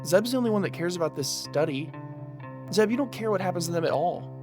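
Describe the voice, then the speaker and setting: A man's voice: high voice, animated, fast. An actor playing a guilty, apologetic man anxious to keep his friends, hesitant in an audio drama. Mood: guilty, defensive. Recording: guitar music behind the voice.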